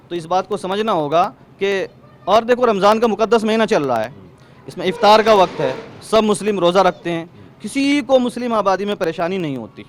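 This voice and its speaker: Male community spokesman, high voice, western Uttar Pradesh accent, emphatic and explanatory, recorded outdoors with slight noise. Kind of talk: explanatory street interview answer. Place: outdoors in a residential lane. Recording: faint outdoor street noise.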